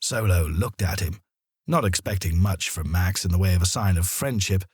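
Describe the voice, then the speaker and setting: A man narrating a children's story in a dramatic, expressive manner. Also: low voice, moderate pace, slightly rough, no echo, clean studio sound.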